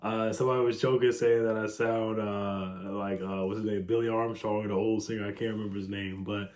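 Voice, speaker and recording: A man talks in a hoarse, raspy voice roughened by a cold. His talk is loose, casual fan commentary, broken up by ums and the occasional throat clear. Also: fairly low pitch, fairly even intonation, fairly quick, darkish tone. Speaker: sports video host.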